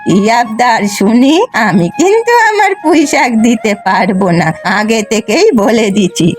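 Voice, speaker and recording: A woman voicing a kindly but wary old village grandmother in a cartoon, slow and knowing. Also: fairly high pitch, big pitch swings, faint music behind. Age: older. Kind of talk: Bengali cartoon voice acting.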